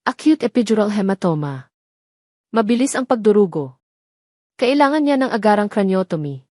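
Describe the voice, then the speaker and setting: A woman's voice: medium pitch, very animated, moderate pace, a little mellow, no room sound, clean studio sound. A woman voicing a grave, urgent senior doctor in an audio romance drama, speaking in clipped phrases.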